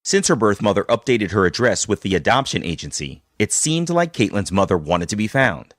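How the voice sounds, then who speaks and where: A man's voice: on the low side, very animated, a little fast, fairly bright tone, no echo, studio quality. A man hosting a podcast, moving between scripted narration and conversational interviewing. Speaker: podcast host.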